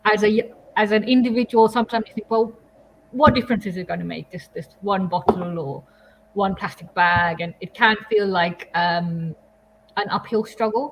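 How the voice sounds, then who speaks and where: A woman's voice: medium pitch, steady tone, normal speed, a little hiss or hum, rough recording. A young woman from Kenya, a marine biologist, talking thoughtfully and hesitantly in a casual livestream. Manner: thoughtful, hesitant, laughs often.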